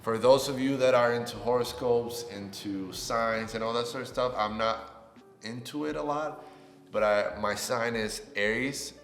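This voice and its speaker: A man's voice: medium pitch, unhurried, soft background music. A man in his twenties from Puerto Rico, a native Spanish speaker, giving an upbeat, chatty introduction on his new channel. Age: 20s. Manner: upbeat and chatty, rising with excitement. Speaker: YouTuber. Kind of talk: casual vlog self-introduction. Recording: echoey room with long reverb.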